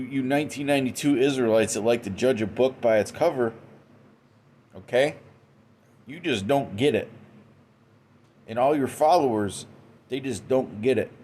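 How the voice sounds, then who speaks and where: A man talks through an informal religious monologue at a mid pitch. He keeps checking in with "okay?" and "man", often restarting his words. A faint hum of noise sits behind him. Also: warm tone. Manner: tags almost every sentence with "okay?" and "man"; frequent restarts and repeated words. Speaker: religious commentator. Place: indoors, Western New York.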